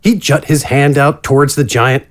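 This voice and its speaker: A man's voice: medium pitch, steady tone, normal speed. A man narrating a scripted fantasy story as its narrator.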